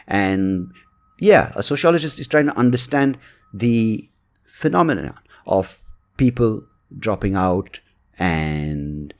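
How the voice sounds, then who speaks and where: A male podcast host with a low voice speaks casually and without a script. He rambles and hesitates, working out his thoughts as he goes. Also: expressive, slow pace. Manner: rambling, hesitant, thinking aloud. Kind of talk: casual, unscripted podcast monologue and chat.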